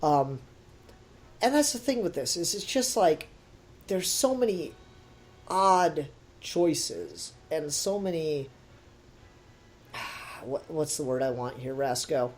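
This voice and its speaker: A man's voice: fairly high pitch, expressive, slow, long pauses. Emphatic, opinionated man talking casually. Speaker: podcast host and reviewer. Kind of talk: casual critical discussion.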